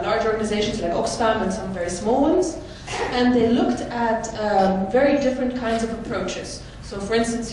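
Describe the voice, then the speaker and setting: A woman from the Netherlands giving a conference presentation, explaining clearly with occasional fillers. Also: medium pitch, a little mellow. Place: large conference hall. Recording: echoey hall, mic from far off, noisy.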